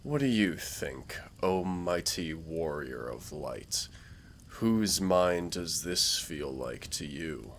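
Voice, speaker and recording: A man playing a smug, taunting dark warlord villain in a roleplay audio drama, speaking in a theatrical, dryly mocking way. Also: very deep voice, animated, slow pace, slight background noise, full character voice. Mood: menacing, taunting. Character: dark warlord villain, younger-looking, sardonic, smug, taunting Lord of Nightmares. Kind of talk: roleplay audio drama, intimate scripted monologue.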